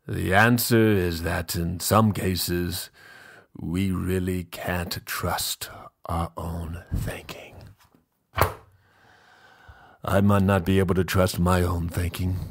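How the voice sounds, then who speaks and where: A man playing a pompous, fussy, self-indulgent gentleman host who gives mock-literary dramatic readings with drawn-out, theatrical pauses. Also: sits low, heavily acted. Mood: earnest, grandiose.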